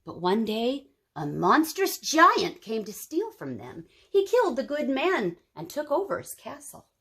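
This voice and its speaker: A woman's voice: a little high, huge pitch swings, unhurried, sharp tone, slightly rough, full character voice. A woman voicing a kindly, grave old woman in a storybook, speaking in a solemn, dramatic way.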